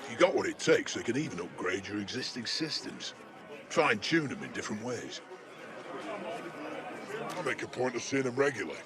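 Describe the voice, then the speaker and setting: A jaded, talkative older British man of the cyberpunk slums, played by a male game actor, speaks in an average-pitched voice. His delivery is colloquial and sardonic, like a matey working-class local. A busy urban crowd is clearly audible behind him. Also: very animated, normal speed, long pauses, lightly acted. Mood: matter-of-fact. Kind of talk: video game character dialogue.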